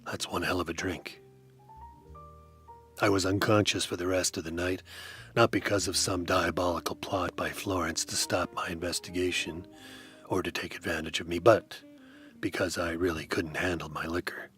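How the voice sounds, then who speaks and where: Deep-voiced deadpan detective narrator. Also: male voice, moderate pace, soft background music. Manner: dry, deadpan, wry. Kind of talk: hard-boiled first-person narration.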